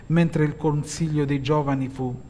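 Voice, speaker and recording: A middle-aged man from Italy preaching a sermon from a pulpit as pastor, slow and measured with pauses and hesitant fillers. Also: on the high side, steady tone, warm tone, a little hiss or hum. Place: church hall, at a pulpit. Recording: long hall reverb.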